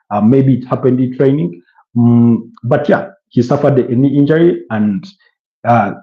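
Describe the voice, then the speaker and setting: A man's voice: mid-range voice, dull audio. A man from Uganda hosting a football channel live stream, chatting and answering viewer comments with many fillers and restarts.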